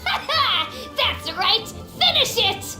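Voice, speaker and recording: Small earnest boy played by a woman, very high childlike voice, slow pace, video game, roomy, music behind. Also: very animated, heavily acted. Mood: confident, excited. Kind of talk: English video game voice acting.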